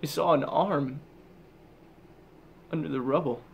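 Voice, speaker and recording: Man performing haunted male soldier, stage monologue, unhurried halting delivery, intense, mid-pitched, faint background noise. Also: gravelly. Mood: grieving, guilty. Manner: halting, intense, with heavy pauses. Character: haunted, guilt-ridden male soldier back from war.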